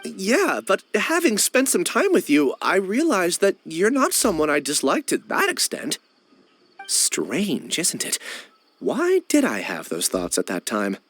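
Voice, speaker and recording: A man's voice: high voice, wildly swooping pitch, a little slow, fairly bright tone, heavily acted. An actor playing an earnest, apologetic young man in a video game.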